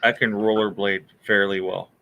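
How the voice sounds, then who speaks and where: A man's voice: fairly low pitch, level tone, moderate pace, gravelly, pushed and bright, highs cut off, slight background noise. A man co-hosting a casual podcast, a military veteran who talks in an easygoing, rambling way and chuckles often. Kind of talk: casual chat.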